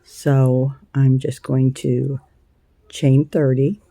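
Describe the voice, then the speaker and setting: A woman with a scratchy voice hosting a casual crochet tutorial, chatty and unhurried, with plenty of ums and uhs. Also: a bass voice, level tone, dark tone, dry recording. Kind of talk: casual hands-on craft tutorial.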